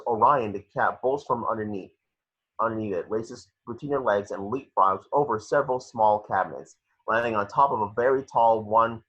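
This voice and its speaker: A man's voice: lowish voice, a bit bright, husky. A man narrating scripted action descriptions in an amateur table read, on a lo-fi home recording.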